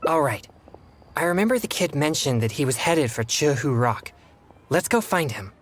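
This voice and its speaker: An actor playing a polite, earnest young exorcist in a video game, with formal, measured phrasing. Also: male voice, on the high side, big pitch swings, a little hiss or hum. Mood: earnest, grateful. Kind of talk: video game character dialogue.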